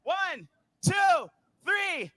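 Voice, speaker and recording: A man's voice: very high-pitched, sing-song, very slow, pushed and bright, no echo. A man presenting informally at a live launch event into a handheld mic, playful and giggly. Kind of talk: informal stage address to a crowd. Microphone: handheld microphone. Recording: live event with clear crowd noise. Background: crowd.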